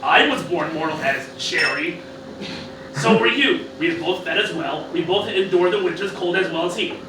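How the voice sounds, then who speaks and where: Arrogant old mad-scientist cartoon villain, acted by a man, sweary and declamatory, high voice, recorded roomy and distant. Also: moderate pace, close to an everyday voice. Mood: scornful, persuasive. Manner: ranting, swearing, declamatory, sometimes stumbling over lines. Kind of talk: amateur comedic Shakespeare parody performance. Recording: roomy, distant mic, noisy room.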